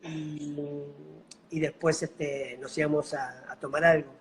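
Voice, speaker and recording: A middle-aged man from Buenos Aires, with an Argentine accent softened by a Bolivian lilt, telling his story in an unhurried, gentle way. His voice sits a little higher than most men's. It comes through a video call. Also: steady tone, poor audio. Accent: Argentine Spanish, slightly Bolivian-tinged. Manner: unhurried, gentle, fond of diminutives. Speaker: guest, mime and clown actor. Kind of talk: casual interview storytelling.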